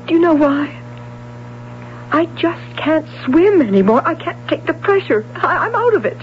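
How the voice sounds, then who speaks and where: An actress playing a young runaway swimmer, weary and defiant, hesitant and emotional, in a 1970s radio drama. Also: female voice, high-pitched, very animated, normal speed. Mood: anguished, resolute. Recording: dull, noisy 1970s radio recording with hum.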